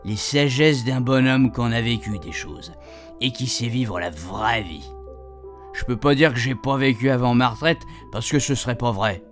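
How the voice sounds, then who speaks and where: A man's voice: medium pitch, moderate pace, faint music behind, close to an everyday voice. A man playing a chatty, cheeky retired grandpa who rambles colloquially through a radio fiction monologue. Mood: folksy, hesitant. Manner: rambling, colloquial, repeatedly checking his puns landed. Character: chatty retired grandpa, cheeky, self-amused, homespun storyteller.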